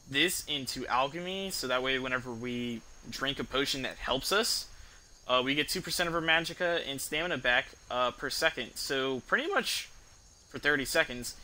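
A young man giving casual gameplay commentary in a rambling, halting way, streaming from an indoor room. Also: mid-range voice, pushed and bright, slight background noise. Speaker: game streamer. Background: game music.

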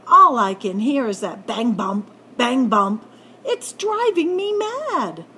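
A woman's voice: a little high, very animated, slow pace, sharp tone, slightly rough, heavily acted. A woman voicing a fussy, sleepless male rabbit in a children's storybook, with whiny, dramatic delivery. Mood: exasperated.

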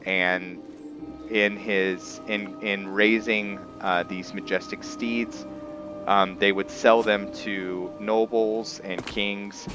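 Low-voiced, slow-talking man over music. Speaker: video game streamer. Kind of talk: casual roleplay gameplay commentary. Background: background music.

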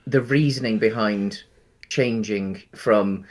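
A man's voice: fairly low pitch, takes it slowly. A man in his thirties co-hosting a podcast on a video call, chatting about a film with lots of ums and restarts. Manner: hesitant, many ums and restarts. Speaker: podcast co-host. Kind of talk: casual film discussion. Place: small room at home. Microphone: headset on a video call.

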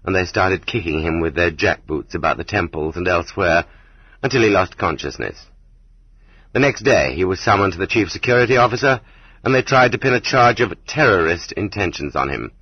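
A man reading an audiobook as scripted literary narration, in a measured, dramatic manner. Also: sits low, animated, breathy edge, no room sound. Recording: slightly dull, muffled audiobook recording.

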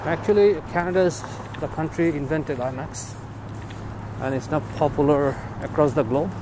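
A man's voice: fairly high pitch, steady tone, moderate pace. A man giving casual walking-tour commentary as a vlogger, recorded outdoors in a city. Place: outdoors on city streets and waterfront. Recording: loud outdoor traffic and city noise. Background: passing cars and urban ambience.

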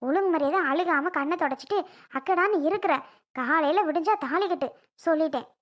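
Woman acting a stern, high-voiced village mother. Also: a little fast. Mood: angry scolding. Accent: southern Tamil Nadu. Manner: scolding, threatening, insistent. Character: stern, anxious mother of a reluctant bride. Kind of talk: village family drama acting.

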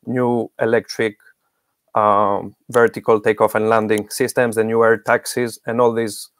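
A man's voice: fairly low pitch, flat delivery, unhurried, no room sound. A middle-aged man giving explanatory interview answers as a guest expert on a video call. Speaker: guest expert, academic researcher.

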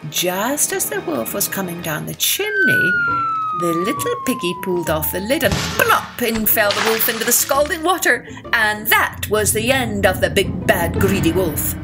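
A woman narrating a scripted children's tale as a storyteller, with expressive, deliberate phrasing. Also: mid-range voice, loud music behind the voice. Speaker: storyteller and podcast host.

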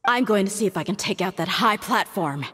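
A woman's voice: medium pitch, animated, fairly quick, a little hiss or hum, natural voice. An actress playing a tough, confident young female mercenary in a video game, blunt and cool in manner. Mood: focused, tactical. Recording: loud game music and combat effects.

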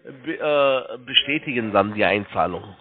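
A man's voice: fairly low pitch, expressive, normal speed. A man working as a call center agent, talking informally with a customer on the phone. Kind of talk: informal phone sales conversation. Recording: band-limited phone-call audio.

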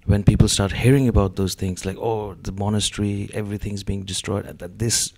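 Hesitant low-voiced man on a gallery panel. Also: little pitch movement, a little fast, darkish tone. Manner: hesitant, many fillers. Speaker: panel artist. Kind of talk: panel discussion. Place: gallery room with audience. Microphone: handheld mic.

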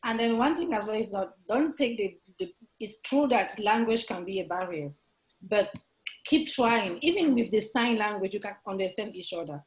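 A middle-aged woman, a native French speaker, talks into a webcam from home. She speaks with force and urges the listener on, gesturing as she goes. Her pitch sits in a middle range. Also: animated, normal speed, rough recording. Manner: emphatic, gestures a lot, exhorting. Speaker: guest speaker, entrepreneur. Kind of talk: motivational talk on a video call. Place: home room, indoors. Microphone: video call webcam.

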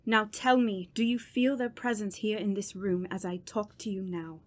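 A woman playing a grave, authoritative doctor of the supernatural in a horror radio drama, speaking deliberately and giving clipped orders. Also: mid-range voice, fairly even intonation, close to an everyday voice. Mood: grave, probing. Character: commanding, grave female doctor investigating the supernatural. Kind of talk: old-time radio horror drama acting.

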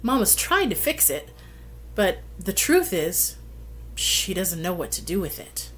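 Middle-aged woman, unhurried storybook read-aloud, expressive delivery, medium pitch, slight background noise from a home webcam recording. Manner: deliberate, expressive storytelling delivery with drawn-out phrases. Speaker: host reading a children's picture book aloud. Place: small plain room indoors.

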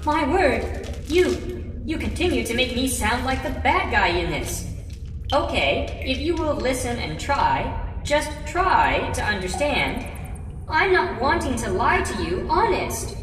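A timid small creature pleads in a very high, swooping voice. He is flustered and apologetic, hesitating over his words. The audio drama recording rings with cave echo. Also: sing-song, takes it slowly, a bit bright, exaggerated voice. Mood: nervous pleading. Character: small, frightened, pleading male animal traveller. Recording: echoey cave reverb, distant, faint noise.

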